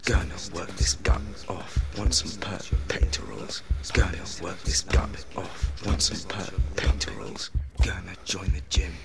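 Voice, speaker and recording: A man's voice: low voice, fairly even intonation, a little slow, over loud music. Anxious, whispery man muttering resolutions. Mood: determined muttering. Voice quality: breathy, half-whispered. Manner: clipped, repetitive, obsessive muttering. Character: anxious, self-deluding single man jogging, muttering resolutions. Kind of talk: audio drama interior monologue.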